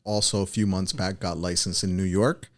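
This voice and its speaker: A man speaking casually as an interview guest, in a halting way, often repeating and correcting himself. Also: low voice, fairly even intonation. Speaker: podcast guest, title company executive. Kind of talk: casual business interview chat.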